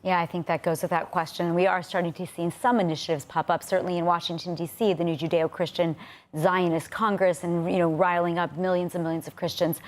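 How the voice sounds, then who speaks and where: An American woman hosting a news interview, her voice sitting low for a woman. She frames her questions clearly and moves the talk along in a smooth studio manner. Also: little pitch movement, fairly quick. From United States. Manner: poses questions clearly, with a polished sign-off.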